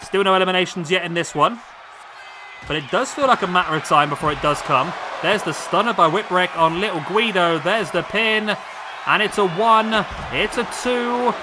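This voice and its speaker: A man commentating on a wrestling video game, losing his voice, chatty and excitable as he calls the action. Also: high-pitched, moderate pace. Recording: loud game crowd noise behind. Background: video game crowd and arena sound.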